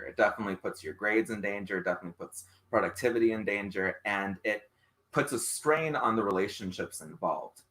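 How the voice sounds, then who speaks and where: Solo streamer, male, first language Spanish, lowish voice, loses his thread and uses filler words. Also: fairly bright tone, poor audio. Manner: loses his train of thought and reacts to chat comments. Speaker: live-stream host. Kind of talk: solo live stream talk.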